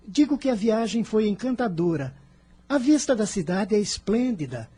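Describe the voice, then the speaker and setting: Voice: mid-range voice, mellow, slightly rough. An actor playing an earnest, solemn boy in a religious radio drama, speaking in formal, articulate phrases. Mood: earnest, grave. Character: earnest, outspoken twelve-to-fifteen-year-old boy, solemn and wise.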